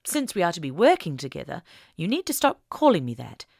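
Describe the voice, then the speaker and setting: Genteel older suffragist lady, acted by a woman reader, assured, warm, gracious, very varied intonation, storybook drama. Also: fairly low pitch, sing-song, fairly quick, gravelly, dry recording, studio quality, exaggerated voice. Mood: gracious, encouraging.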